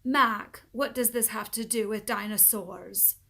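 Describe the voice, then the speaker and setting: A woman voicing a weary, firm storybook schoolteacher, measured and a little exasperated. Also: on the high side, gravelly, sharp tone, exaggerated voice.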